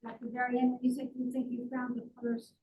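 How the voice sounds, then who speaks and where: A high-pitched female voice questions a speaker from the floor. She sounds distant, with room echo around her words. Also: completely flat delivery, quick pace, phone-quality sound. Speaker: audience questioner. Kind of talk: spontaneous probing question. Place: indoor conference room, away from mic. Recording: distant, echoey room, faint rumbling noise.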